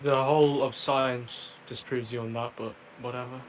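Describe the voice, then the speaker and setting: A man from England with an English accent, a guest debating calmly and directly in a casual podcast chat. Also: medium pitch, moderate pace, low-quality recording.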